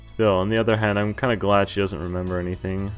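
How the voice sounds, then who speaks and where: A man's voice: low voice, little pitch movement, fast talker. A man reads a visual novel aloud over game music. He slips between reading the script and chatty, informal asides of his own, joking as he goes. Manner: informal, breaks off reading to joke and comment. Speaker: streamer playing a visual novel.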